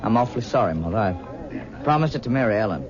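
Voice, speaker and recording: A man's voice: on the low side, lively intonation, fast, music in the background, close to an everyday voice. An actor playing a torn young man in his twenties, speaking earnestly and anxiously, on a lo-fi 1970s radio drama recording. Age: 20s.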